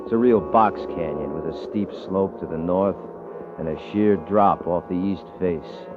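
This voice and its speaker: A swaggering, short-tempered Western rancher in an old American radio drama. He talks in a folksy cowboy drawl, mocking and bullying, with rough grammar. The vintage recording is dull, with music clearly behind the voice. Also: sits low, measured pace. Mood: wry, storytelling. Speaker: actor and first-person narrator. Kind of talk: old-time radio drama acting, rustic Western dialect.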